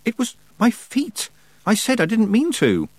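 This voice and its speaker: Man playing an indignant, high-voiced young girl. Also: wildly swooping pitch, normal speed, no room sound. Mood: exasperated. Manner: earnest, protesting. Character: indignant, stubborn twelve-year-old girl, young witch.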